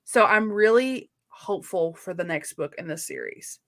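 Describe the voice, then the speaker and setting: A woman's voice: on the low side, animated, normal speed. A woman chats casually as co-host of a live readalong. She talks in an effusive, rambling stream sprinkled with "like", and speaks candidly, with an informal, unfiltered bluntness. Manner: effusive, rambling, uses lots of "like". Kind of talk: casual book chat.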